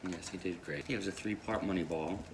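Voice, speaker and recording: Very low, gravelly woman, noisy recording. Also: steady tone, brisk. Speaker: review co-host. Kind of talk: casual chat. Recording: very loud background noise.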